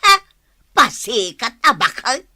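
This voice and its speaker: Aktres bilang matinis at palabang nanay na matanda. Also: female voice, fairly high pitch, sing-song, takes it slowly, bright, forceful tone, dry recording, studio quality, full character voice. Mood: stubborn, indignant. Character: proud, grudge-holding mother, older village woman. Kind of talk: Ilocano comedy radio drama acting.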